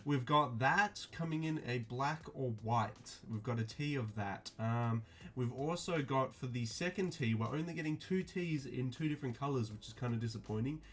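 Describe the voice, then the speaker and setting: A man talking casually to his viewers, reviewing a clothing release in a flat, mildly disappointed way with lots of ums and restarts. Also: mid-range voice, moderate pace. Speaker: streetwear vlogger.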